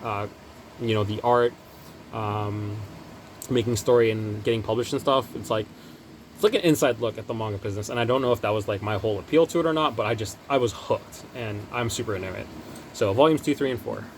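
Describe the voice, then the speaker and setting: A man's voice: lowish voice, fairly quick, slight background noise, low-quality recording. A young man hosting a casual manga haul video, talking loosely with lots of fillers and restarts. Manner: rambling, lots of ums and likes. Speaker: manga collecting vlogger. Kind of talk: casual talk to camera.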